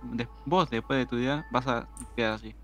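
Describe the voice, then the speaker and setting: A man's voice: mid-range voice, fairly quick, a bit bright, faint music behind. A young man chatting casually during a multiplayer game, often repeating short phrases. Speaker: friend playing along. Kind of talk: casual gaming banter.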